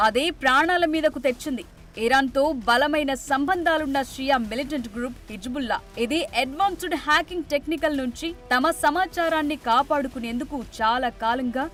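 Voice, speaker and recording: A woman's voice: sits high, fairly bright tone. A woman delivering a scripted news narration as a reporter. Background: music behind the voice.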